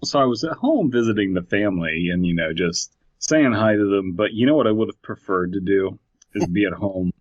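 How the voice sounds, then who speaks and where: Low-voiced man reading with mock drama. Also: fairly quick, no room sound, lo-fi sound. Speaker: podcast co-host reading a story aloud. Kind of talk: comedic podcast banter with story reading.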